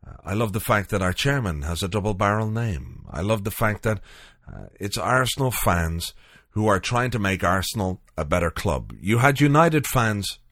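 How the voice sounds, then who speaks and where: A man hosts a podcast from home late at night, chatting loosely and wandering off on asides. His voice sits low but swings widely in pitch. The sound is dry and close. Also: animated, normal speed, hardly any pauses, a bit bright, no echo. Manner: rambling and loose, many fillers and asides. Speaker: podcast host.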